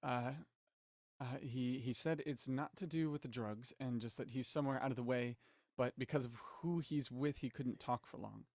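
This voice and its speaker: A man's voice: mid-range voice, moderate pace, a little mellow, no echo, clean studio sound, close to an everyday voice. An actor playing an anxious, skeptical man who pleads and stammers in an audio drama. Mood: hesitant. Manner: hesitant, stammering.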